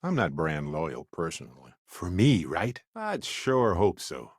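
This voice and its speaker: An actor playing a wry, good-humored space explorer companion in a video game, talking in an expressive, conversational way. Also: male voice, low voice, measured pace, slightly rough, dry recording, clean studio sound, exaggerated voice. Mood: sincere. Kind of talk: video game character voice lines.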